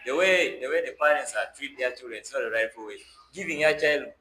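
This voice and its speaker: Bright-voiced young man hosting. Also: mid-range voice, brisk. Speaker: podcast host. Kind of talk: conversational podcast discussion. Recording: roomy echo with faint background noise.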